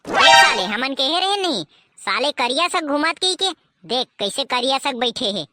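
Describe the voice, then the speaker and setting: Casting: man playing blunt Chhattisgarhi village pal, high-pitched, bossy and taunting, cartoon comedy, noisy track. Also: very animated, moderate pace. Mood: cynical. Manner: scolding, slangy, taunting. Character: mocking, bossy young village buddy, blunt and cynical. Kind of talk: comedy cartoon dubbing in rustic slang.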